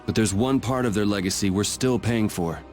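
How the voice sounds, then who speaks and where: A man's voice: fairly low pitch, normal speed, a little mellow, soft background music, natural voice. An actor voicing a resolute, casual young male leader in a video game, dry and firm.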